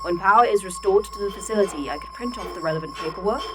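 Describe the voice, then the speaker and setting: A woman's voice: mid-range voice, quick pace, heavy background noise, lightly acted. A woman playing an unflinching, procedural artificial intelligence running a facility in a sci-fi audio drama, formal and detached. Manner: formal, rule-bound, detached. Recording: music behind the voice.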